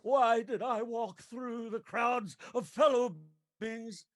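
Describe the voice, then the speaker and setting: An actor playing the tormented, remorseful ghost of a dead miser in a radio play, declaiming mournfully with moaning, drawn-out cries. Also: male voice, very high voice, fairly even intonation. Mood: bitter, remorseful. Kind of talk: theatrical radio play acting.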